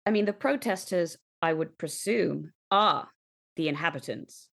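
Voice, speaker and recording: A woman playing a tabletop roleplaying game, talking through ideas and scenes in measured, reflective phrases. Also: on the low side, gravelly, a bit bright. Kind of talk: thoughtful conversational game talk.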